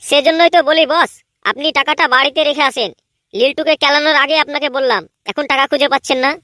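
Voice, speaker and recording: Voice actor doing cheeky greedy cartoon sidekick boy, high bright voice, quick, always needling. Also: dry recording, clean studio sound. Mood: nagging. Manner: needling, argumentative. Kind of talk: comedy cartoon dub.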